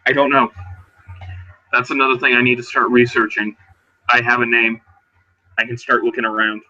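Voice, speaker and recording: A man playing a weary, guarded but determined werewolf survivor in a tabletop roleplay, speaking haltingly and low-key. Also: little pitch movement, bright, forceful tone, highs cut off. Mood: quietly determined. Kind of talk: in-character tabletop roleplay dialogue.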